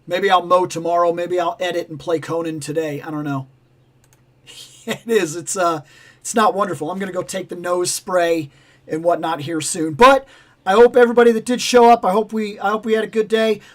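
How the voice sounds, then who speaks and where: Raspy high-voiced man chatting on a livestream. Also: normal speed. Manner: rambling, animated, self-interrupting. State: raspy, losing his voice. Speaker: toy channel livestream host. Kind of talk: casual livestream chat.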